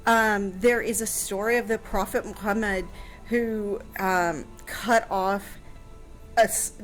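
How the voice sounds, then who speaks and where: A woman's voice: mid-range voice, fairly even intonation, slow, soft background music. A woman chatting casually on a radio show, answering a history question with lots of fillers and restarts.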